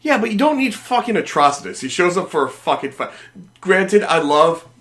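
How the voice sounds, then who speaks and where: A man co-hosting a casual gaming podcast, sniffly from a cold, excitable and swearing freely. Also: high-pitched, moderate pace. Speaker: podcast co-host.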